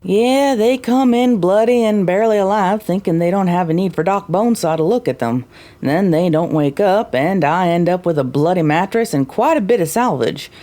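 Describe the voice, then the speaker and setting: A woman playing a brisk, teasing, motherly flophouse mare in an audio drama, folksy and matter-of-fact. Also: lowish voice, dark tone, natural voice.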